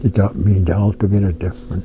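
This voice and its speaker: An older man patiently teaching words to learners in a slow, plain-spoken conversational way. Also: low voice, flat delivery. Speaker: language teacher. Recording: clear background noise.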